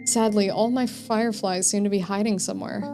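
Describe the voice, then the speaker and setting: A woman's voice: mid-range voice, fairly even intonation, fairly quick, close to an everyday voice. A woman voicing a troubled, needy young narrator of a psychological horror visual novel, reading in an introspective, pleading way. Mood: pleading, anxious. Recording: music behind the voice.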